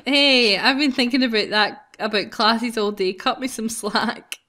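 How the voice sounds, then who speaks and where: A woman's voice: a little high, unhurried, pushed and bright. A playful, giggly woman hosting a gaming video, reading a visual novel aloud and bantering casually. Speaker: gaming video host, narrator.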